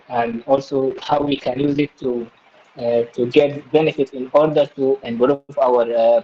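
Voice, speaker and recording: A man taking part in a webinar, politely and haltingly putting a question to a presenter. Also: medium pitch, mellow. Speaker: webinar participant asking a question. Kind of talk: hesitant spoken question. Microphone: webinar call. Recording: dull, muffled online call.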